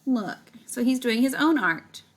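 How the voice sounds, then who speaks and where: Woman, high voice, children's read-aloud, chatty casual asides, checking questions. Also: lively intonation, measured pace. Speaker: teacher reading a picture book aloud to children.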